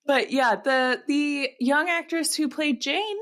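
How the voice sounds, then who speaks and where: A woman's voice: sits high, slow, a bit bright. A woman co-hosting a podcast in casual comedic chat, giggly and playfully sarcastic. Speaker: podcast co-host.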